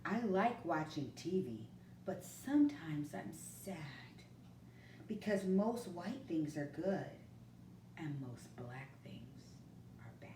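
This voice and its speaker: A woman reading a picture book aloud, slow and expressive, sometimes dropping to a hush, in a roomy recording from a distance. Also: on the low side, a little hiss or hum. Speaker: storyteller.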